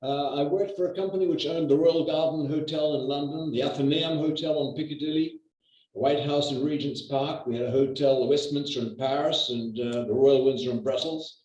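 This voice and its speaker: A man's voice: a little high, steady tone, darkish tone, dull audio. Older man on an echoey distant call. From New Zealand. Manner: measured, with many hesitations. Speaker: real estate agent guest. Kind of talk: conversational interview.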